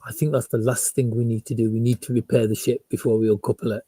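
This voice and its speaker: A man's voice: medium pitch, level tone, fast talker, mellow, breathy edge, no echo. A man playing a tabletop roleplaying game, reasoning aloud in casual discussion and rephrasing himself as he goes.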